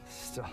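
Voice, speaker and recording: A man playing a genial, ailing scientist in a game cutscene, formal and wordy, sometimes dropping to a whisper, with music behind him. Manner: formal, wordy, measured, with soft chuckles. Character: genial, ailing, erudite male scientist resigned to heartbreak. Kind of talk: cinematic video game cutscene acting.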